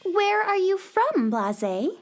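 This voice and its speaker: A woman's voice: extremely high pitch, big pitch swings, slow, music in the background, heavily acted. A woman playing a kind, earnest young space-traveling girl in a children's radio play, sweet and polite. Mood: friendly, wondering. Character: kind, wonder-filled young space cowgirl. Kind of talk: children's radio theater acting.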